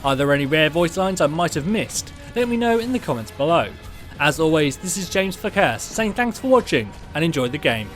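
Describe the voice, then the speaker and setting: High-voiced man narrating scripted video. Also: sing-song, a little fast, a bit bright, soft background music. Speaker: video host. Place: close mic voice-over with music behind.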